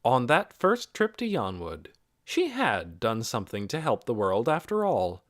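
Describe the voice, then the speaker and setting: A man's voice: mid-range voice, dry recording, clean studio sound. A man reading a novel aloud as an audiobook narrator in a scripted storytelling style.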